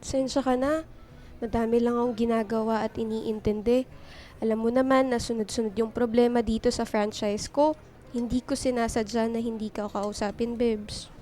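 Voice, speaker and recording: An actress playing an affectionate, reassuring young girlfriend in a radio drama, with a sweet, expressive delivery. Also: a little high, normal speed, lightly acted. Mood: weary, apologetic. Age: young adult. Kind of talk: Filipino radio drama dialogue. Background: background music.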